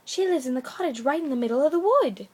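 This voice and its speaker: A woman's voice: high voice, expressive, quick pace, darkish tone, pitched above the performer's own voice. A woman voicing an innocent, curious little girl in a storybook, with wide-eyed, emphatic delivery. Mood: cheerful.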